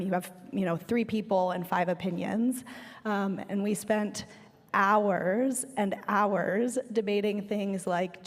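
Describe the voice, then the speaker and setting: A hoarse woman answers as a panelist, thinking aloud in a measured way with frequent ums. Her voice sits in the middle range. A big hall's long reverb follows each phrase. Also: medium pitch. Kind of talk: reflective conference panel talk. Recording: reverberant hall.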